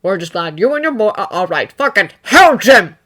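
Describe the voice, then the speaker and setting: A gruff, hot-tempered shop owner barks his lines bluntly and loudly, as a woman reader acts him out. The voice is forceful and bright, pushed up in volume. A storybook figure with a short fuse and a soft heart. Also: sits high, big pitch swings, quick pace.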